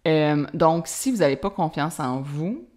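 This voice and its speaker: A woman in her thirties talks through a solo podcast episode with a strong Quebec French accent. Her voice sits low for a woman, and she rambles in a loose, friendly way, dropping fillers and bits of English slang as she goes. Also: lively intonation, unhurried, a little mellow. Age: 30s. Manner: casual, chatty, many fillers and anglicisms. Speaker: podcast host.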